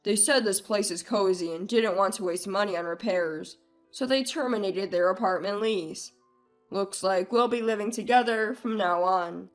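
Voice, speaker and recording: A woman's voice: medium pitch, moderate pace, soft background music, voice pushed down, lightly acted. A woman voicing a selfish, domineering husband in a narrated family drama, curt, scolding and condescending. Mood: smug, domineering. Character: domineering, dismissive, selfish husband, adult man. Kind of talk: acted dialogue in a narrated family drama story.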